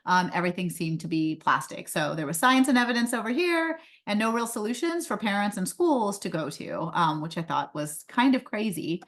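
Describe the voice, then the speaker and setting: Woman, raised in India, pediatrician, webinar talk, conversational, frequent fillers, mid pitch. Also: expressive, moderate pace, hardly any pauses. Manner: conversational, many um fillers, light laughs. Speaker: pediatrician and company founder. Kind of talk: webinar presentation.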